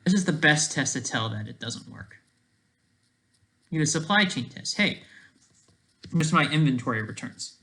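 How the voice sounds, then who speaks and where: A person giving rambling off-the-cuff commentary in a halting, sarcastic way, restarting mid-thought and often checking with a questioning tag. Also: medium pitch, lively intonation, a bit bright.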